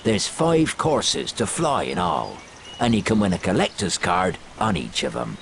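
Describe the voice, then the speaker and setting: A man playing a big, gruff, kindly groundskeeper in a video game, hearty and encouraging. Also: low voice, big pitch swings, measured pace, fairly bright tone, a little hiss or hum, heavily acted.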